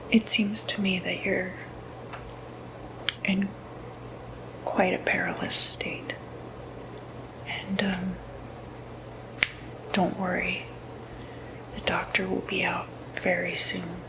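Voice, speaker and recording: Woman doing asmr doctors office roleplay, breathy whisper, really slow, kinda hesitant, noticeable background noise. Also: lowish voice, level tone. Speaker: ASMR roleplay performer playing medical staff.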